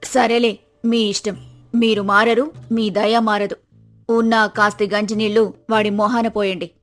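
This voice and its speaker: A woman's voice: mid-range voice, level tone, unhurried, close to an everyday voice. A woman playing a poor, weary village wife in a moral story drama, scolding her husband in an exasperated, complaining manner. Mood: grudging, exasperated. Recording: music behind the voice.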